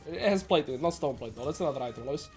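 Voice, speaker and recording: A man talks quickly through gameplay like a coach, with a rather high voice. He sounds nasal and a little under the weather. Faint music plays behind him. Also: lively intonation. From Netherlands. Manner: brisk, explanatory, joking asides. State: slightly sick. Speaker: game coach. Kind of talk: gameplay commentary.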